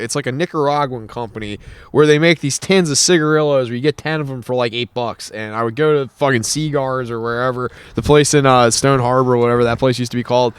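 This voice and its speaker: A man in his thirties from New Jersey, co-hosting a podcast with animated, profane storytelling while smoking a cigar. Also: mid-range voice, fairly quick, clear, resonant tone, no echo. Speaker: podcast co-host. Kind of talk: casual chat.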